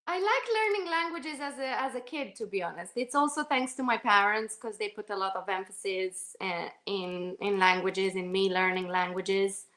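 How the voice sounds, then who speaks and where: A woman's voice: mid-range voice, normal speed, few pauses, fairly bright tone. A woman from Romania, an actress answering interview questions in a thoughtful, hesitant way with frequent restarts. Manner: thoughtful, self-correcting, many fillers and restarts. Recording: echoey room.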